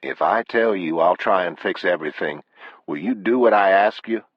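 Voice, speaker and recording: A man's voice: sits low, normal speed, no echo, lo-fi sound. A man playing a stubborn, guarded working man from the American South, speaking tersely in an audiobook. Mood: weary reassurance.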